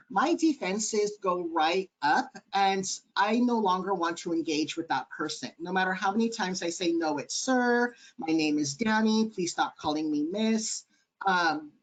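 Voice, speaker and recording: A man with a light, high-sounding voice, answering webinar questions earnestly with many ums over a video call. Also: fairly bright tone, rough recording. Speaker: webinar panelist.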